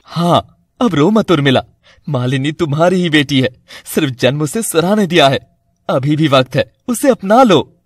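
Man acting as earnest husband and father, cartoon drama, slightly rough voice, dry recording. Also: fairly high pitch, lively intonation, moderate pace, no echo. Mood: persuasive. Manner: earnest, persuasive.

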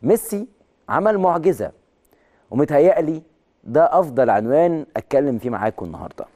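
A man in his thirties with an Egyptian Arabic accent and a hoarse voice, presenting sports news in a studio and talking emphatically, with excited peaks on key words. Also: fairly high pitch, quick pace. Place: large TV studio with video wall.

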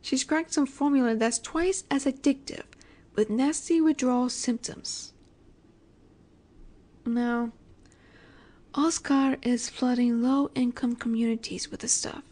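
High-voiced, flirty female mafia boss, acted by a woman. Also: fairly even intonation, dark tone. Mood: composed, sly. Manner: coy, teasing, deliberate, sometimes breathy. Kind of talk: scripted audio roleplay, addressing the listener.

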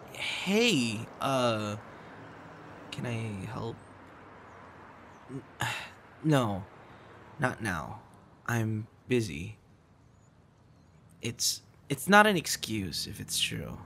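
A young man acting a busy, guarded friend in an intimate audio roleplay, with halting, stammering delivery. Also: lowish voice, creaky, a bit bright, slight background noise, full character voice. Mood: irritated, evasive.

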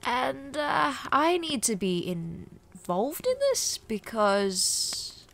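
A woman's voice: high-pitched, big pitch swings, drawn-out words, gravelly, a bit bright, heavily acted. A woman voicing a sardonic, conflicted male demon in a comic dub, wry and hesitant, sometimes dropping to a whisper. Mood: nervous, evasive.

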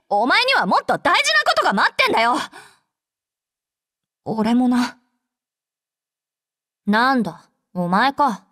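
High, brash tsundere android girl. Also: a bit bright, no echo, studio quality, exaggerated voice. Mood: cool, teasing. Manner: rough, tomboyish, blunt speech. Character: brash, tsundere young female android soldier. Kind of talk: Japanese anime game character voice lines.

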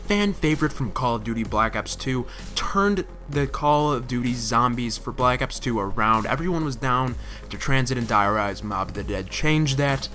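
A man's voice: medium pitch, lively intonation, moderate pace, sharp tone. A man giving casual countdown commentary as the host of a gaming channel, speaking in voice-over. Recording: music under the voice. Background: music.

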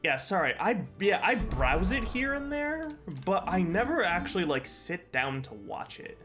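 A man's voice: high-pitched, very animated, normal speed. A young man with a stuffy, congested voice from a cold, chatting casually and swearing while streaming a game from his bedroom on a headset. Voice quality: sweary, rambling. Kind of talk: casual gaming commentary. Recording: game music behind.

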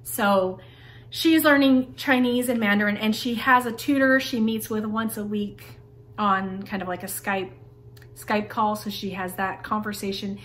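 A woman's voice: medium pitch, fairly bright tone, a little hiss or hum. A woman in her thirties casually reviewing her daughter's homeschool curriculum to camera, chatty and friendly, speaking from a home schoolroom. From Taiwan. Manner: chatty, friendly, frequent "like" fillers. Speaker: homeschool vlogger. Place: home schoolroom with bookshelves, indoors.

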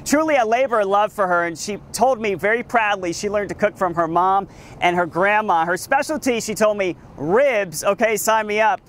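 An enthusiastic male field reporter with a fairly high voice, talking live outdoors in front of a school. He sounds upbeat and chatty, picked up on a lapel mic. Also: moderate pace, a bit bright.

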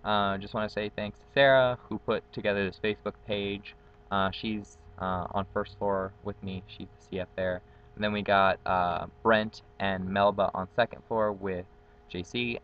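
A man's voice: on the low side, muffled recording, slight background noise. A young man from Guatemala, a native Spanish speaker, introducing himself in a casual, upbeat vlog full of ums, filmed close on a webcam outdoors. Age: young adult. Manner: chatty, upbeat, many ums. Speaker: college dorm community facilitator. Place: outdoors on a patio with trees. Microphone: built-in webcam mic, close framing.

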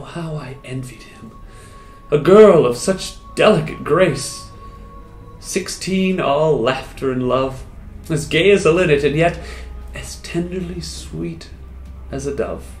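A man playing a wistful wounded soldier, roomy sound. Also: mid-range voice. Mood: wistful, tender. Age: 30s. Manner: theatrical, halting, with long expressive pauses. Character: wounded Canadian soldier, disfigured, wistful, lovestruck, grateful. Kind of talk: dramatic poetry recital, first-person monologue. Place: small indoor room with hard walls. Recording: roomy echo, music behind.

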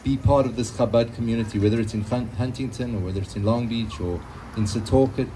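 Halting South African man addressing an audience. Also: medium pitch, dark tone, a little hiss or hum, poor audio. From Johannesburg, South Africa. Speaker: singer-guitarist. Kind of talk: addressing an audience between songs. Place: outdoors under a canopy in a concrete yard. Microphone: stage microphone through a PA, filmed from a few metres.

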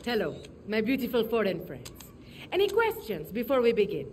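An actress playing a poised, haughty government minister in a video game, with a Latin American Spanish accent and a polished, imperious delivery. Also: female voice, medium pitch, huge pitch swings, a little fast, noisy background. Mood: poised, formal. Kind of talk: video game cutscene acting, press announcement.

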